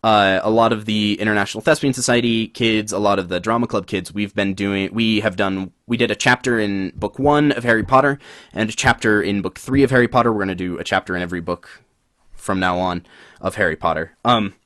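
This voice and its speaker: A man's voice: fairly low pitch, fairly quick, no room sound. A man co-hosting a podcast, talking casually about acting, rambling with lots of fillers. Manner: relaxed, rambling, many fillers. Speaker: podcast co-host. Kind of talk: casual chat.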